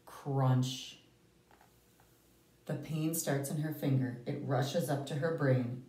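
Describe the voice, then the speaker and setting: A woman reading a picture book aloud to children, with deliberate, emphatic phrasing and dramatic pauses. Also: rumbling low, warm tone. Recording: roomy, echoey, distant microphone.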